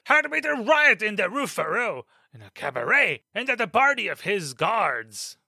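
Brief: male actor as an irate musketeer captain for an audiobook drama, sarcastic and sharp, higher voice, dry close recording. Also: very animated, takes it slowly, no room sound, exaggerated voice. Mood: angry scolding. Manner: angry, ironic scolding with sudden shouts.

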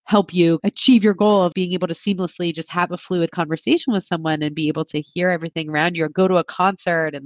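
A woman's voice: fairly low pitch, dry recording, muffled recording. A woman in her thirties, a clinician guest, answering interview questions in a reflective, think-aloud way with frequent filler words. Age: 30s.

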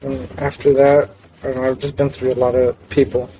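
A man's voice: mid-range voice, level tone, a little fast, gravelly, mellow, a little hiss or hum, rough recording. A young man from South Florida, a guitarist and singer, talking in a laid-back, hesitant way as a podcast guest. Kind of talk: casual interview chat.

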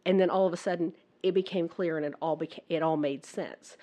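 A woman hosts a relaxed podcast chat in a slightly low voice. She sounds husky from a recent flu, and she talks in a chatty, halting way, often restarting her sentences. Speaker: podcast host. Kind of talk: casual podcast interview.

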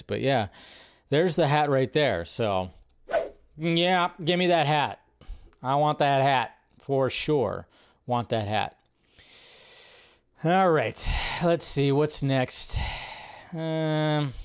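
A man's voice: medium pitch, expressive, slow, pauses often, clear, resonant tone. A man hosting a livestream with casual, gushing movie-news commentary, rambling in slangy, emphatic phrases and repeating himself for emphasis. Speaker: livestream host.

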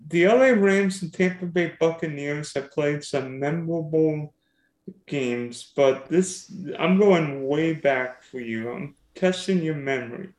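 A man's voice: a little high, a little slow, a little mellow. A man hosting a casual sports podcast, teasing and quizzing his guest. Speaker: podcast host. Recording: roomy echo.

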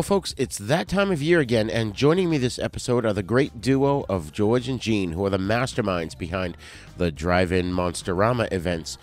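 Lively Boston-accented male podcast host. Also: fairly low pitch. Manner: laughs often, upbeat. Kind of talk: casual podcast chat.

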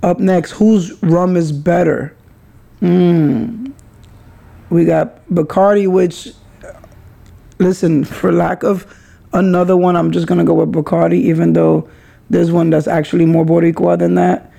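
A man's voice: high-pitched, flat delivery, unhurried, gravelly, warm tone. A middle-aged man from the Bronx hosting a casual solo podcast, talking in a rambling, hedging, conversational way. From New York, the Bronx. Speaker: podcast host.

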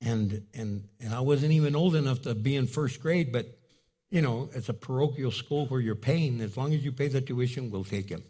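Man, pastor, sermon, first language Spanish, husky, storytelling, loud high bursts. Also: moderate pace.

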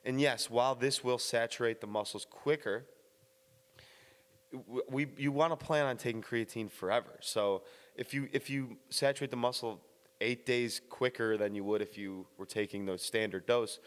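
Guy explaining gym stuff, bit raspy from the morning, cusses casually, some long reverb on it. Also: medium pitch, normal speed. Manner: blunt, casual, swears, many restarts. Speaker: fitness vlogger.

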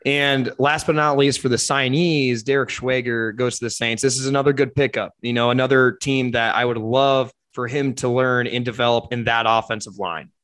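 A young man talking sports with a friend in a loose, slangy way. His voice is a little worn from a busy weekend. He gets animated and laughs easily. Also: mid-range voice, normal speed, hardly any pauses. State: voice partly lost. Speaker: podcast co-host. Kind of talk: casual sports chat.

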